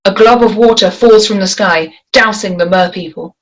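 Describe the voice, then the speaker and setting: A woman's voice: mid-range voice, moderate pace. A woman narrating scripted action and stage directions at a screenplay table read. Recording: faint background noise.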